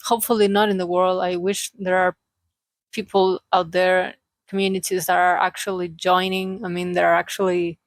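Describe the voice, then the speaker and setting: A woman's voice: lowish voice, creaky, no room sound. A woman from Chile with a Chilean Spanish accent speaks English as a second language in a casual, unscripted vlog, searching for words in a hesitant, self-deprecating way with little joking asides. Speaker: vlogger, aspiring cartoonist and illustrator. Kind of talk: casual unscripted confessional chat.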